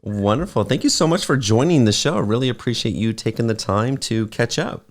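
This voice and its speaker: A man hosting a business podcast, asking questions in a friendly, measured way with frequent uhs. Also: medium pitch. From California. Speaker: podcast host. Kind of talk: interview hosting.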